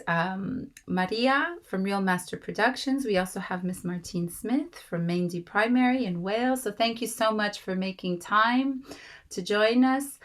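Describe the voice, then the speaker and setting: A woman in her thirties with a slightly raspy voice from a cold, warmly welcoming guests to an online meeting with many fillers. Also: mid-range voice. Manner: gracious, rambling with fillers. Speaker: host. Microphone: video call.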